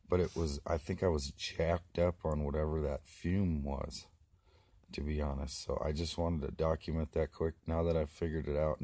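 A hoarse man, about forty, rambling in a casual vlog, filmed on a handheld phone inside a small greenhouse. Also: a bass voice, warm tone, dry recording. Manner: rambling, many restarts and fillers. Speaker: vlogger. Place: small plastic greenhouse, indoors. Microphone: phone camera held close.

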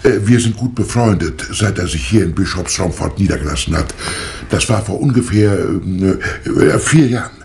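An actor playing an absent-minded, hesitant professor who stammers, in a 1960s German radio crime drama. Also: male voice, low voice, lively intonation, moderate pace, vocal fry, hardly any pauses, a little mellow, slight background noise. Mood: hesitant, puzzled. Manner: hesitant, stammering with many filler sounds.

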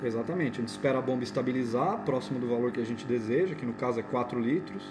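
A man's voice: mid-range voice, quick pace, music in the background. A man with a Brazilian accent gives an informal, step-by-step equipment demonstration in an explanatory way. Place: indoor office or lab.

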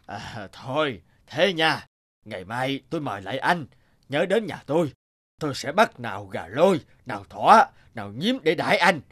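A man's voice: medium pitch, big pitch swings, moderate pace, fairly bright tone. A man voicing a sly, greedy, lazy fox in a children's cartoon, wheedling and hemming as he talks. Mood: smooth, promising. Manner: wheedling, hesitant with many hems.

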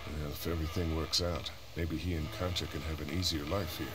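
Low guy, calm protective survivor in a game, gentle n steady, rumbly background noise. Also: fairly quick. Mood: steady, diplomatic. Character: calm, protective adult man, reassuring survivor. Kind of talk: video game voice acting.

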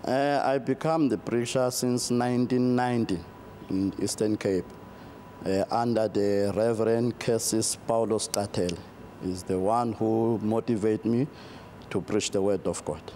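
Middle-aged South African pastor, low and warm, slow and halting in a studio interview. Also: male voice, steady tone, a little hiss or hum. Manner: halting, many fillers and restarts, slow. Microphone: lapel.

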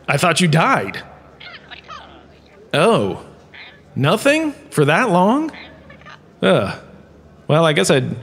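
Theatrical, vain professor, played by a man, swooping pitch. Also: fairly bright tone, heavily acted. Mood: flustered. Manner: dramatic, showy, pompous. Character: vain, theatrical male professor lecturing on galactic history. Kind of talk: scripted comic lecture. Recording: long hall-like reverb.

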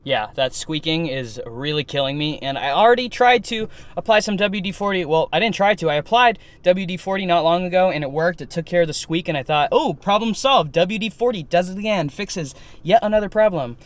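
A man's voice: high voice, expressive, fairly quick, few pauses. A young man vlogging about his camping trip in a casual, chatty way, laughing between remarks, recorded on a camera held at arm's length, first inside a truck and then outdoors in the snow. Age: young adult. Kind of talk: casual vlog narration. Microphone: handheld camera at arm's length.

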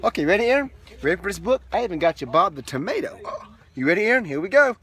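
Lively dad reading a picture book. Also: male voice, high-pitched, fast. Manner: playful, animated storytelling with asides to the child. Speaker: father reading to his young son. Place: back of a minivan.

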